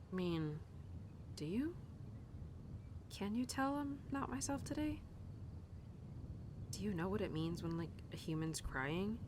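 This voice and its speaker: A woman playing an upset young cowgirl talking tenderly and haltingly to her horse in an intimate audio roleplay. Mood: sad, apologetic. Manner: halting, casual, sighing, talking softly to an animal. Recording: clear background noise with low rumble.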